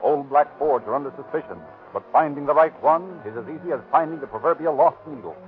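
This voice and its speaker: A man's voice: a little high, quick pace. A man giving dramatic scripted narration in the style of a 1930s radio crime show. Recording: tinny 1930s radio recording, faint music. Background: faint music.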